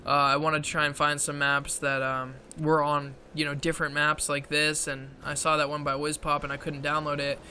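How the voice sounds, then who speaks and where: A man giving casual commentary over gameplay footage, halting with many fillers, clearing his throat often, his voice strained from talking so long. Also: on the high side, completely flat delivery, pushed and bright, a little hiss or hum. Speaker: gaming video creator.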